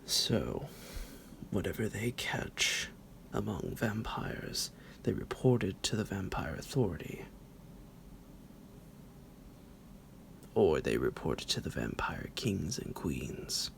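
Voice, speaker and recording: A man playing a psychotic vampire doctor in an ASMR roleplay, smug and menacing, with a slow, theatrical, teasing delivery in a gravelly half-whisper. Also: very animated, slight background noise, heavily acted. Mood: teasing, condescending. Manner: slow, drawn-out, theatrical, halting, with low chuckles.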